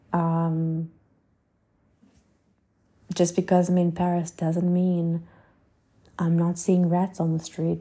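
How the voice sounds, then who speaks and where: A woman in her twenties hosting a podcast, musing casually in a rambling way with many fillers and soft whispered asides. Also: lowish voice. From South Africa. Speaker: podcast host. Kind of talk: casual reflective monologue.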